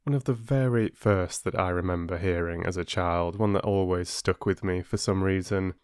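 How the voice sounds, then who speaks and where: A man narrating as an audiobook storyteller, with expressive, deliberate and dramatic phrasing, close to the microphone. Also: low-pitched, clean tone, no room sound. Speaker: storyteller and channel host.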